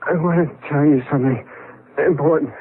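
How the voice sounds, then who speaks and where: An actor playing an anguished, insistent man making a halting confession in a 1970s radio drama. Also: a little high, husky. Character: anguished man with a last confession to his partner. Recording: muffled, phone-like old radio broadcast.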